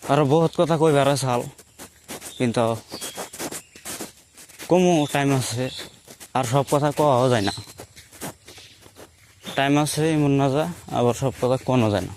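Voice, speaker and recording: A young man with a mid-pitched voice talks in a rural Bengali dialect of Assam. He rambles through a casual personal monologue, often repeating his phrases. There is faint outdoor noise with birds behind him. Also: darkish tone. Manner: rambling, repeats and rephrases himself. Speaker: storyteller recounting his own life.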